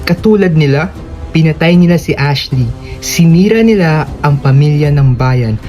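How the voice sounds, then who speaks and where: A man's voice: a little high, animated, moderate pace, a little mellow, soft background music, natural voice. A man acting a defiant, grieving son exposing his corrupt father in a solemn, declamatory Filipino radio drama style. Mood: vengeful, grim.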